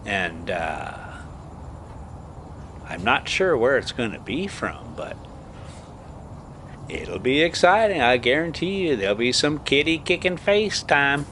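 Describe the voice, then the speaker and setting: A man reading a story aloud as an amateur host, halting and stumbling over words now and then, with casual delivery. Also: mid-range voice, sing-song, fairly bright tone, a little hiss or hum. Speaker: host reading stories aloud for a pet video channel.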